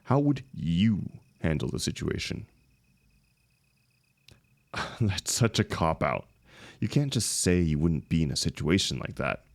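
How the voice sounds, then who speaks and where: Very deep, gravelly young heartbreaker, acted by a man. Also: very animated, no echo, full character voice. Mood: teasing, defensive. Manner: casual, halting, emotional, swinging emphasis. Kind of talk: intimate one-sided audio roleplay.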